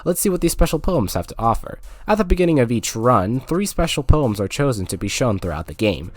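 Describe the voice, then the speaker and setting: A man's voice: medium pitch, sing-song, a little fast. A man narrating a scripted, jokey video game review with sarcastic, playful asides.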